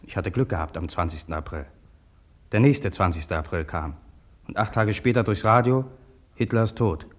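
An actor playing a subdued young German soldier son, recalling his homecoming in short, halting sentences in a radio drama. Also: deep voice, animated. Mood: subdued, reflective. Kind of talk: radio drama first-person recollection.

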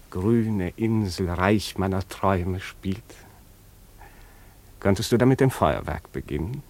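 Radio drama role: wistful aristocratic prince, lowish voice, polite, formal, musing. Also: male voice, a little mellow. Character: courteous exiled prince, wistful, dreamy aristocrat. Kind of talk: German radio play acting.

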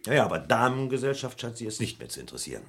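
An actor playing a suspicious, methodical police detective in a German crime radio play, speaking deliberately and with pointed emphasis. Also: male voice, mid-range voice, big pitch swings, fairly bright tone, slightly rough, exaggerated voice. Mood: pressing, stern. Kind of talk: German crime radio play acting, mid to late 20th century.

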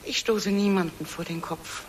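A woman's voice: fairly low pitch, moderate pace, slight background noise, lightly acted, poor audio. A woman playing a proud, defensive middle-aged career woman with a snappy manner in a radio drama.

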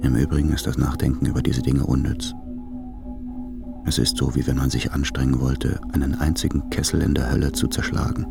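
A brooding man of letters in a radio play, with an extremely low, gravel-edged voice. He speaks in measured, reflective phrases, tender and anxious underneath. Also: level tone, over loud music, full character voice. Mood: wry, brooding. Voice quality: very deep, gravelly voice. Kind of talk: German radio play, reading letters aloud.

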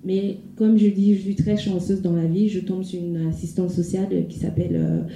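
A woman's voice: lowish voice, expressive, moderate pace, warm tone. A woman, giving a hesitant spontaneous testimony in public. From Ivory Coast. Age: 20s. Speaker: speaker giving personal testimony. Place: echoey room at a public event. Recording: muffled, noisy, echoey room.

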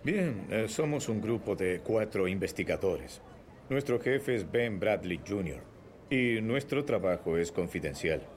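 A man's voice: lowish voice, lively intonation, unhurried. A man dubbing a friendly, easygoing investigative team editor in a Spanish film dub.

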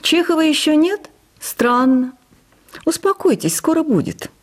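A woman voicing an ailing, affectionate but testy male writer in a radio literary reading, gently teasing and abrupt. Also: extremely high pitch, sing-song, a little slow, voice pushed up, heavily acted. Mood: gently playful.

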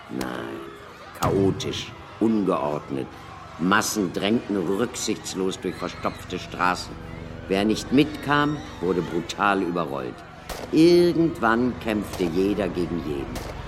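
A grave, older scholar in a radio play, with a very low voice that rises and falls expressively. He speaks slowly and emphatically, pausing for effect, in a reverberant hall with music underneath. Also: noisy background, exaggerated voice. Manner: emphatic, deliberate, with dramatic pauses. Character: grave scholarly excavator recounting a civilisation's collapse. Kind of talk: radio drama acting.

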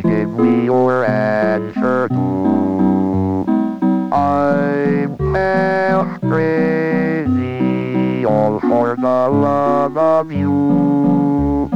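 An early synthesized computer voice singing in a robotic, buzzy tone on an old demonstration tape. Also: mid-range voice. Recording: muffled 1961 lab demonstration tape.

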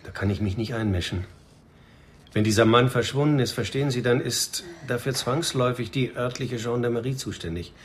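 An actor playing a calm, patient middle-aged police commissioner in a radio crime drama, with an understated, halting, matter-of-fact manner. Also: male voice, medium pitch, a little hiss or hum, natural voice. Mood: reserved, questioning.

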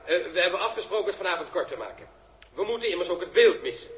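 An actor playing a brisk, showy forum host with a bustling announcer manner in a 1960s radio play. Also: male voice, high voice, sing-song, a bit bright. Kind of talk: 1960s radio play acting as live presenter. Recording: echoey, noisy early 1960s radio recording.

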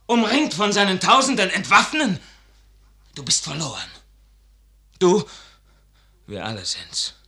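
Anguished idealistic young soldier, tense voice leaping all over, very theatrical old 1950s radio drama. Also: male voice, sits high, full character voice. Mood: stunned, fearful. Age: young adult. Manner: impassioned, theatrical, swinging from hushed to crying out. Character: idealistic, passionate young officer, loyal anguished son. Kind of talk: 1950s German radio play, classical verse acting.

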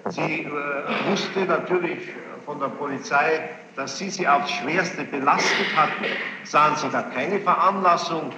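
A man questioning a witness as a judge in court, formal and insistent, halting and repeating himself as he builds each question. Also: on the high side. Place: large echoing courtroom. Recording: noisy muffled archival courtroom tape, echoing hall.

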